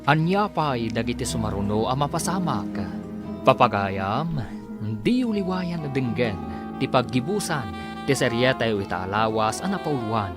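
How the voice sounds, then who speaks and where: A lively man in a village radio comedy drama. His pitch swings widely at a middle register, with clear music playing behind him. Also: measured pace. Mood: announcing. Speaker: announcer and actor. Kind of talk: radio drama announcing and acting.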